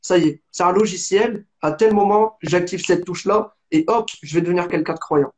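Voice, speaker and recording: High-pitched young man in his twenties, casual and rambling, with many restarts. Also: normal speed, a little mellow. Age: 20s. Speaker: interviewer. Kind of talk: conversational religious discussion.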